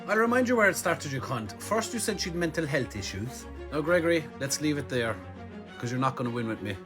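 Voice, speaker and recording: A blunt man delivers a taunting video response, his voice a little high for a man. Music plays clearly behind him. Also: lively intonation, fairly quick. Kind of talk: confrontational, mocking video response.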